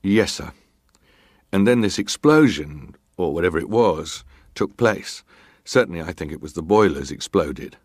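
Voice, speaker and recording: A low, deep male voice in a dry, close recording. a stoic ship's officer testifying in a dramatized hearing. He speaks formally and plainly, in clipped, factual phrases. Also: expressive, moderate pace, dry recording. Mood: grave recounting. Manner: clipped, matter-of-fact, formal. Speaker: actor reading testimony.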